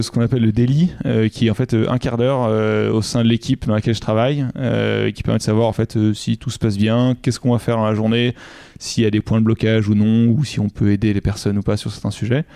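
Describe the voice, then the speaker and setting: A man's voice: mid-range voice, fairly even intonation, a little fast, vocal fry, dark tone, smooth, no room sound, clean studio sound. A young man from Normandy, a UX designer, answering interview questions in a casual, hesitant way with many fillers and restarts. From Normandy, France. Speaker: UX designer guest.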